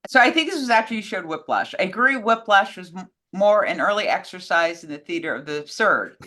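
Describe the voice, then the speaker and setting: A woman's voice: lowish voice, animated, brisk, bright, forceful tone. A woman co-hosting a show, reading out fan questions and chatting casually about them.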